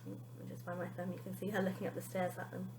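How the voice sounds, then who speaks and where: A woman teacher reading a children's story aloud in an expressive style. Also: lowish voice, fast, reverberant, noisy background.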